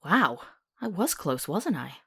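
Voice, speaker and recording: Young female apprentice apothecary, played by a woman, low-pitched and animated, curious yet skeptical, visual novel. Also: unhurried, gravelly, breathy edge, no room sound, studio quality, heavily acted. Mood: sardonic. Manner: wry, questioning.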